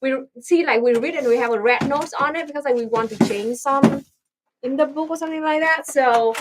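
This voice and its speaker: A young woman from Vietnam with a Vietnamese accent, hosting a casual livestream and often pausing to search for words. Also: high-pitched, very noisy. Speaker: livestream host.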